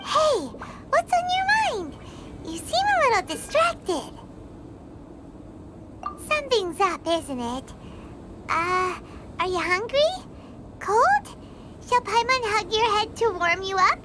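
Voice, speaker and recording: Super squeaky little fairy sidekick for a game, bouncy up-and-down delivery, slow-ish. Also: female voice, very animated, very noisy, full character voice. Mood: concerned, coaxing. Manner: refers to self in third person, exclamatory. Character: tiny excitable childlike floating fairy companion, cheerful and caring. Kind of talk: video game voice acting. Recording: loud music behind the voice.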